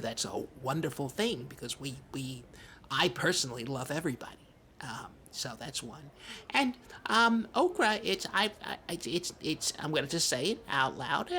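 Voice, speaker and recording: Comedy podcast co-host: male actor as an earnest, timid man-child, mid-pitched and bright, halting and rambling. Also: a little hiss or hum. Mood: hesitant, earnest. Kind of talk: improvised comedy podcast banter.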